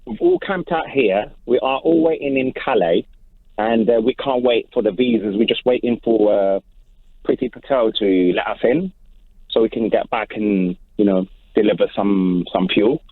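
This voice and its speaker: A man's voice: lowish voice, normal speed, no echo. A man calling in to a radio show over the telephone, hesitant and full of ums and uhs. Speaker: caller. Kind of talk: phone-in call. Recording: tinny phone line.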